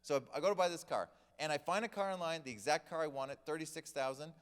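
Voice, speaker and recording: A man's voice: a little high, quick pace, smooth. A man giving a casual, joking sermon, telling stories to the congregation. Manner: chatty, joking storyteller. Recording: long reverb of a hall.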